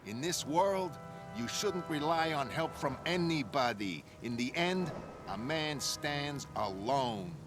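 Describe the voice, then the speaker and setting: A man playing a gruff, opinionated, cynical news vendor with a blustery, colloquial, grumbling delivery. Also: a little high, lively intonation, takes it slowly, over music.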